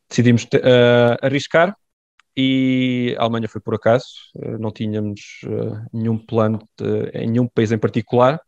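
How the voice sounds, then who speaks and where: A middle-aged man with a European Portuguese accent, answering interview questions in a measured, hesitant way with many pauses. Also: medium pitch, creaky, a little mellow. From born in France, raised in Portugal. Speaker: guest. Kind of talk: conversational interview. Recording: dull dry lo-fi sound.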